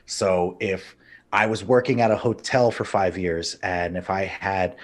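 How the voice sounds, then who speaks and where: A young man from El Paso, a stand-up comedian, chatting casually over a video call and telling animated stories. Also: deep voice, measured pace. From El Paso, Texas. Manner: animated storyteller, slips into Spanish phrases.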